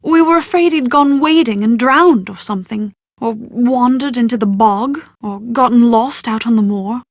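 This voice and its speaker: An actress playing a well-bred, proud young British bride-to-be in a video game, with prim, articulate, genteel phrasing and exasperated confidence. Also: female voice, fairly high pitch, normal speed, dry recording, clean studio sound, natural voice. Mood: earnest, recalling. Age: young adult. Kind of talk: scripted video game dialogue.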